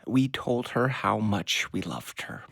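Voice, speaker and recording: A man playing an awkward middle-aged dad in an audio play, husky and hesitant, earnest in manner. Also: on the low side, sing-song, takes it slowly, a bit bright, dry recording, clean studio sound, heavily acted. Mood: gentle, sorrowful. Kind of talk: naturalistic audio play acting.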